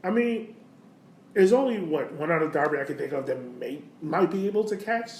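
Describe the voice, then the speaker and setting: A man's voice: a little high, lively intonation, a little fast, darkish tone. A man co-hosting a sports podcast, chatting informally and making his points with emphasis. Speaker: podcast co-host. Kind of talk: casual sports podcast chat. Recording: roomy echo, faint background noise.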